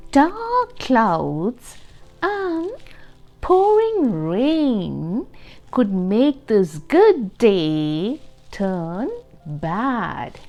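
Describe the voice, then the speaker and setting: Female children's storybook reader, very slow and deliberate, hugely varied intonation, music underneath. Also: medium pitch. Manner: expressive, deliberate, emphasising key words. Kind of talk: read-aloud storytime for young children, with explanatory asides and questions.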